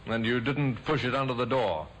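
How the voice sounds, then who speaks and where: An actor playing a shrewd, brisk male police inspector in an old radio drama, crisp and dryly ironic. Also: medium pitch, a bit bright, close to an everyday voice, rough recording. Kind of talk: 1950s radio drama acting. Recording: old radio broadcast with faint hiss.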